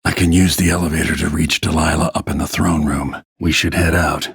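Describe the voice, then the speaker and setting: An actor playing a grim, vengeful middle-aged assassin in a video game, brooding in a gravelly, husky voice with low-key menace. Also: male voice, level tone, brisk, fairly bright tone, dry recording, full character voice. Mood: brooding, resolute. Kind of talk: dramatic game voice lines, brooding inner monologue.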